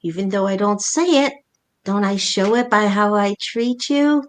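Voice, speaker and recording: A woman's voice: medium pitch, measured pace, lightly acted. A woman playing a blunt, exasperated middle-aged mother and wife in an amateur staged play reading, brusque and matter-of-fact. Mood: reassuring.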